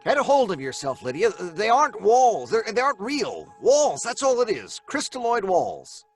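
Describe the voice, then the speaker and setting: An actor playing a skeptical husband in a live audio drama, dismissive and wearily reassuring. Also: male voice, high-pitched, huge pitch swings, normal speed. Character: skeptical adult husband George, father. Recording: live stage recording with faint music.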